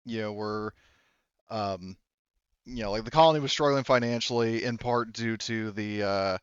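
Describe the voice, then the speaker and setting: A man with a smooth, clear voice narrates a tabletop roleplaying session as game master. He improvises casually and haltingly, swearing freely. The sound is close and dry, if a little dull. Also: on the low side, no room sound.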